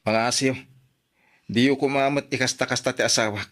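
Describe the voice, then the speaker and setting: An actor playing an exasperated grown son who remonstrates earnestly, with an Ilocano accent, in a radio drama. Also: male voice, medium pitch, fairly even intonation, brisk, a bit bright, lightly acted. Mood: protesting. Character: exasperated grown son protesting to his mother.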